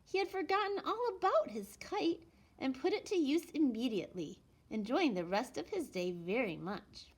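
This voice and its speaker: A woman reads a children's picture book aloud in an expressive storytelling manner, with deliberate pauses and emphasis. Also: high voice, slightly rough. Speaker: elementary school storytime reader.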